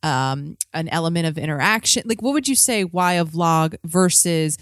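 A woman's voice: lowish voice, animated, dry recording, studio quality. Curious woman co-host asking questions. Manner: curious, questioning, a little halting. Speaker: podcast co-host. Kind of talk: casual podcast chat.

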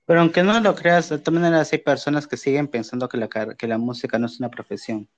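A man's voice: mid-range voice, steady tone, fast, lo-fi sound. A man with a Peruvian accent asking casual interview questions over a remote call. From Peru.

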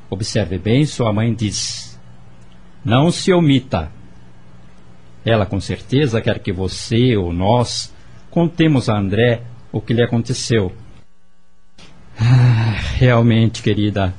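An actor playing a firm, protective husband in a radio drama, speaking deliberately and solemnly. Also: male voice, lowish voice, darkish tone, slightly rough. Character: Celso, firm, protective husband advising his wife.

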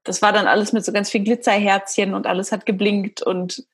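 A woman's voice: medium pitch, level tone, a little fast, husky. A woman interviewing her guest in a casual chat, friendly and affirming, often laughing along.

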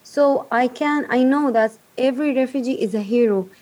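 Halting woman. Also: high-pitched, a little mellow. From Yemen. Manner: halting, searching for words. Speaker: guest, refugee advocate. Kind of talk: remote interview.

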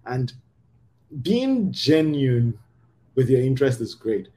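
Animated man with a lively pitch. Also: slow pace, a little hiss or hum. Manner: animated, emphatic, often asks "right?". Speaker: podcast host. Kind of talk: casual conversational podcast chat.

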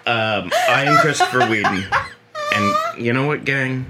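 A man's voice: medium pitch, unhurried. A man of forty co-hosting a podcast in casual chat, with a joking, profane manner. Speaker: podcast co-host. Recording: faint background noise.

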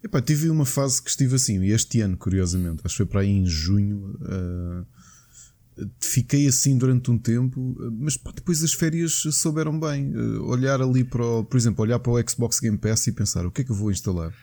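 A man's voice: medium pitch, normal speed, warm tone. A man with a European Portuguese accent, co-hosting a podcast in an easygoing chat, often dropping "pá" and "epá". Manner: easygoing, frequent "pá" and "epá" fillers. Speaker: podcast co-host. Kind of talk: casual chat about video games.

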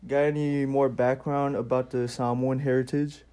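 A man's voice: medium pitch, little pitch movement, normal speed, dark tone, smooth, no room sound. A young man hosting a casual amateur podcast and interviewing a guest. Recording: faint ambient background noise.

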